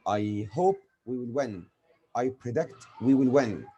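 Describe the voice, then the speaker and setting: A man's voice: mid-range voice, animated, measured pace, warm tone, dull audio, slight background noise. A man with an Egyptian accent, a native Arabic speaker, giving a grammar lesson as a teacher who asks and answers his own questions. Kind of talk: spoken lesson explaining with examples.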